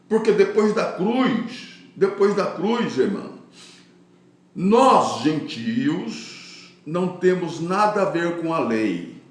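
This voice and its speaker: A man with a Brazilian accent preaching a Bible teaching sermon in deliberate phrases, with devout interjections of praise. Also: on the high side, a little mellow. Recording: very echoey, reverberant room.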